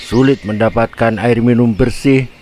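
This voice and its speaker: A man's voice: mid-range voice, fairly even intonation, moderate pace, noisy background, pitched above the performer's own voice, close to an everyday voice. A man voicing an angry otter leader who scolds and accuses in a children's fable. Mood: angry, accusing. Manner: scolding, indignant.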